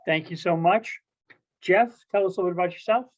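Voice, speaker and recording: A woman's voice: on the low side, brisk. A woman hosting an online play reading, introducing participants and scenes in a courteous, theatrical manner over dull call audio.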